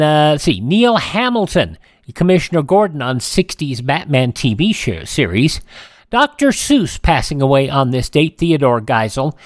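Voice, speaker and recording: Lively radio host with swooping pitch. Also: no room sound, studio quality. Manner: halting reading with stumbles and self-corrections. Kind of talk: informal scripted narration of this-day-in-history items.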